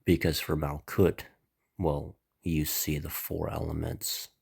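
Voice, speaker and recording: A man hosting his own channel, speaking with a stuffy, slightly nasal voice in a slow, reflective spiritual monologue. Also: very deep voice, flat delivery, creaky, a little mellow, breathy edge. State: stuffy, congested. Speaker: channel host.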